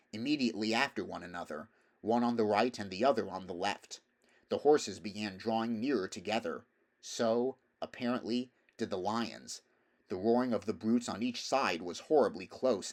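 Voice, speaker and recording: A man reading a story aloud as narrator, in a measured, expressive, dramatic style. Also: lowish voice. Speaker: audiobook reader.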